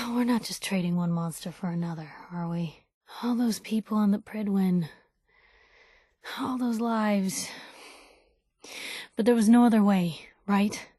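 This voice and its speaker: An actress voicing a plucky young woman reporter in a video game, wry, colloquial and expressive, with a conscience behind the wisecracks. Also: mid-range voice, unhurried, long pauses, no room sound, studio quality, close to an everyday voice. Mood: earnest, troubled. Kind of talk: video game companion voice lines.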